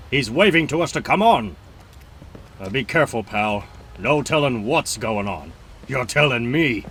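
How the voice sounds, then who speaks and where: A man playing a wary, excitable police officer in a comic book dramatic reading. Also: mid-range voice, slight background noise, full character voice. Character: jumpy, wary male police officer on the scene. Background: loud music.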